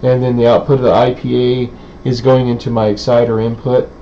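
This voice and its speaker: Male presenter for an informal technical walkthrough: subdued, even tone, hesitant pacing with pauses, slightly noisy lo-fi sound. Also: medium pitch. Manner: halting, hesitant delivery with many pauses. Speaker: presenter, radio hobbyist. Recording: dull, lo-fi sound with faint background noise.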